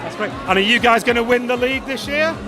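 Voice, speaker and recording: A man's voice: very high voice, fast. A man hosting an outdoor event, interviewing guests with playful, cheeky presenter patter. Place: outdoor event at a car showroom. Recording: loud event with music and crowd. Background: music and crowd chatter.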